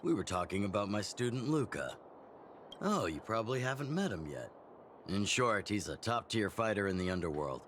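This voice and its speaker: A man voicing a genial middle-aged town chief and mentor in a game, relaxed and teasing in a fatherly way. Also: lowish voice, normal speed, slight background noise. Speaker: community chief.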